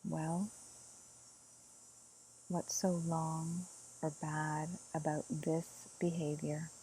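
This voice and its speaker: A woman leading a guided meditation as a teacher, speaking gently and soothingly in soft phrases with long pauses. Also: low voice, level tone, a little hiss or hum, low-quality recording.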